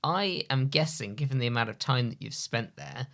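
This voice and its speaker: A man hosting an interview, asking questions in a measured way. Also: mid-range voice, lively intonation, vocal fry, a bit bright. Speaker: podcast host.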